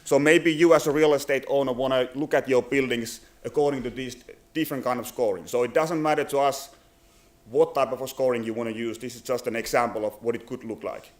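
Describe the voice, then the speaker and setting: A man from Finland in his thirties with a Finnish accent, giving a business presentation at a conference, halting with many fillers and restarts. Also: medium pitch, steady tone. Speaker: company presenter. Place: conference venue.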